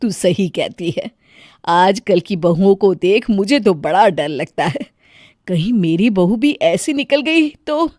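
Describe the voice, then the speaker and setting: A woman's voice: medium pitch, expressive, slow, a little mellow, dry recording, clean studio sound. A woman playing a middle-aged mother-in-law in a moral story, doting yet easily offended, with an emphatic, exclaiming manner. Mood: worried. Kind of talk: dramatised Hindi moral story.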